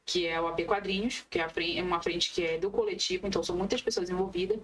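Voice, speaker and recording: A woman's voice: fairly low pitch, fairly even intonation, fast, a bit bright, a little hiss or hum, poor audio. A woman talking casually as a guest on a podcast. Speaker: podcast guest, comics event organizer.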